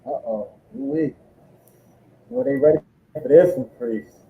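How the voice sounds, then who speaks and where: A man's voice: medium pitch, huge pitch swings, measured pace, vocal fry, a little hiss or hum. A man assisting a preacher as scripture reader, reading verses aloud and answering him. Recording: tinny phone-like line with roomy echo.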